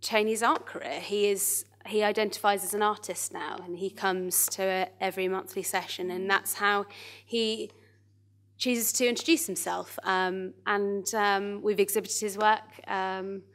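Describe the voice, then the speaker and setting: Female panel speaker, mid-pitched, hesitant and thoughtful, informal delivery into a stage mic. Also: steady tone, measured pace, fairly bright tone. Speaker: panellist, arts access manager. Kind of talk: informal panel talk, telling an anecdote. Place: small theatre stage in front of an audience. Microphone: microphone on stage.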